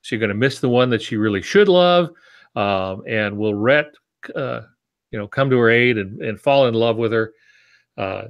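An American man in his sixties teaching informally with asides, talking on a video call from a home office. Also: medium pitch. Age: 60s. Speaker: writing teacher, podcast co-host. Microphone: wired earbuds on a video call.

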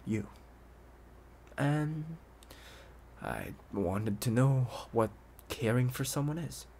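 A man playing an obsessive, playful young intruder in an ASMR roleplay, coaxing the listener like a doting owner, with hesitant pauses and hushed asides. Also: medium pitch, lively intonation, creaky, slight background noise. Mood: wistful.

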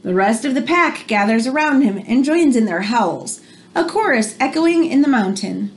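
A woman in her thirties reads a picture book aloud to children in an expressive, teacherly way, pausing to explain things. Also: a little high. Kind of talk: read-aloud storytelling with explanatory asides for children. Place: small room indoors at home. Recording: home recording with background noise.

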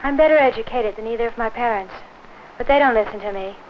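A woman's voice: a little high, fast talker, close to an everyday voice. A woman playing a bored, disgruntled teenage schoolgirl, blunt and complaining, in an educational film. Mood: fed up. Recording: muffled, faintly noisy 1960s film sound.

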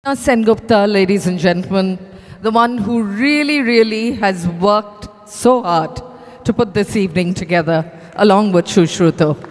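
High-voiced man announcing in echoey ballroom. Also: measured pace. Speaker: event host. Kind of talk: closing announcements at a live event. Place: large hotel ballroom. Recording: echoey hotel ballroom PA, long reverb.

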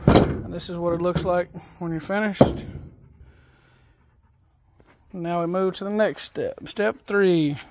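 A man casually walking through an assembly job outdoors, reading steps aloud and thinking out loud in a relaxed, folksy way, recorded on a phone camera held close. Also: sits high, slow, pauses often, slight background noise. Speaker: DIY video host. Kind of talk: casual step-by-step assembly walkthrough. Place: outdoors on a wooden deck.

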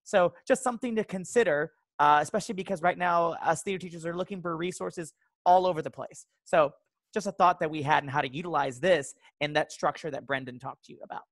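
Guy teacher talking on a video call, earnest n measured, dry sound no room echo. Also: a little high, a bit bright. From Texas resident. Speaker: theater teacher. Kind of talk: informal explanatory talk to educators.

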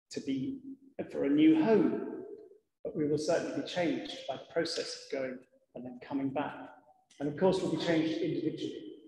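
A middle-aged man, a vicar giving a sermon in a measured, reflective manner with deliberate pauses. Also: a little high, darkish tone. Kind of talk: sermon to a congregation. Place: large church interior, indoors. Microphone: lectern gooseneck microphone. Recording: echoey church hall with long reverb.

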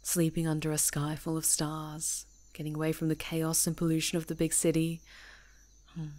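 A friendly young woman camping out alone on a retreat, as a woman plays her in an audio roleplay, chatty and teasing, laughing easily as she talks. Her voice sits a little low for a woman, with faint outdoor noise behind it. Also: monotone, moderate pace, warm tone, lightly acted. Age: young adult. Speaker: ASMR roleplay performer.

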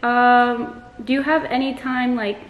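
A woman's voice: on the high side, steady tone, measured pace, reverberant, narrow, tinny audio. A young woman in her twenties chatting casually and bubbly in a home vlog, picked up on a camera mic across the room. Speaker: vlogger. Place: bedroom and living room at home.

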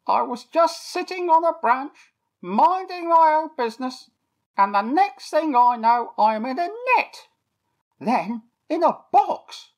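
A woman doing a squawky, high-pitched toucan. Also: slow, exaggerated voice. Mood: storytelling. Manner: excitable, repeats phrases. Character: chatty male toucan, squawky and lively.

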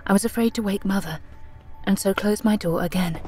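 An actress playing a frightened, weakened young woman, narrating in a breathy, dramatic whisper in an audio drama. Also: mid-range voice, fairly quick, dark tone, faint music behind, lightly acted. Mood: fearful. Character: frightened, weakened young woman writing her last record.

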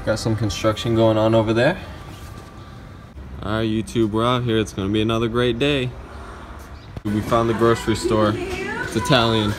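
A man's voice: fairly low pitch, level tone, normal speed, long pauses. Young man vlogging into his phone in the street. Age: young adult. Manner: upbeat, chatty, addresses viewers directly. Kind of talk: casual travel vlog narration. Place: streets and shops of a city, often outdoors in rain. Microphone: phone camera at arm's length. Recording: phone audio with loud street noise.

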